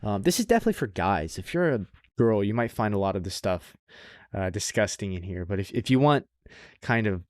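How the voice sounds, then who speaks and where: A man's voice: on the low side, expressive, fairly quick, no echo, clean studio sound. A man co-hosting a podcast in casual chat, informal and sweary, repeating phrases for emphasis as he gives recommendations. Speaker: podcast co-host.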